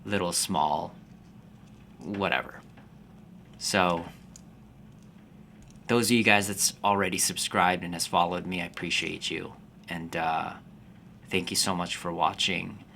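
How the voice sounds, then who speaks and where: Deep gravelly calm-spoken man. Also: a little hiss or hum. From Philippines. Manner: calm, slow, unhurried delivery. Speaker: fitness business coach. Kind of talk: casual talking-to-camera vlog.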